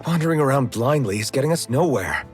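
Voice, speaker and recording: An actor playing an earnest, resolute young rebel fighter in a fantasy anime game, speaking plainly. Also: male voice, medium pitch, animated, fast, music in the background, lightly acted. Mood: measured, wary. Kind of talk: English-dubbed anime game voice acting.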